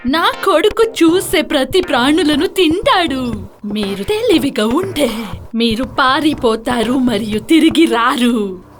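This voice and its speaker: Woman voicing a high-pitched old monster's mother. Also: lively intonation, takes it slowly, faint music behind. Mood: warning. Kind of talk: children's storybook character voice.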